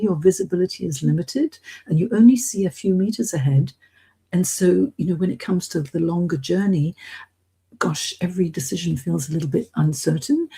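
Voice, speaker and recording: A woman's voice: lowish voice, gravelly, mellow, breathy edge. A middle-aged woman with a British accent, hosting an informal live coaching session and speaking directly and encouragingly to her listeners. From Hong Kong, as a British expatriate. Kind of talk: informal live-stream coaching talk to an audience.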